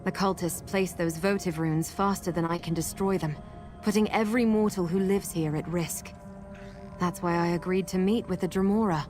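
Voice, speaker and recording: An actress playing a guarded, urgent masked woman who protects people from cultists in a fantasy video game, speaking in an earnest, measured way. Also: fairly low pitch, darkish tone, soft background music, lightly acted. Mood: urgent, businesslike. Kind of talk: video game character dialogue.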